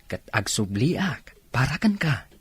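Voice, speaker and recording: An actor playing an ardent young Filipino boyfriend in a radio drama, with a husky, breathy voice and an Ilocano accent. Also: male voice, mid-range voice, very animated, takes it slowly. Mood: earnest, reassuring.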